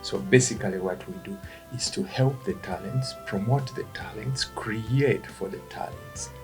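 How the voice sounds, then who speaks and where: A middle-aged Ugandan man with a Ugandan accent, answering interview questions in a halting way, often restarting words. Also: fairly low pitch, over music. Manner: halting, restarts words, quiet then rising when animated. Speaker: guest, event company founder.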